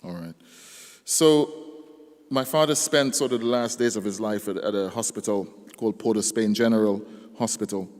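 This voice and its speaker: A man reading his poems aloud at a live event, measured and deliberate, lifting his voice for the verse. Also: on the low side, a little mellow. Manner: slow, deliberate recitation that rises in force on the poems. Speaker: poet. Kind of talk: live poetry reading with casual stage banter. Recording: long reverb of a live hall.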